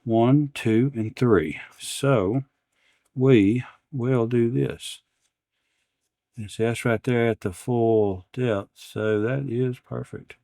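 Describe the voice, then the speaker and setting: A man's voice: mid-range voice, steady tone, slow, gravelly, dark tone, dry recording, studio quality. A man hosting a repair demonstration, talking casually and unscripted, partly to himself as he works at a bench. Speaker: repair channel host. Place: indoors at an electronics workbench.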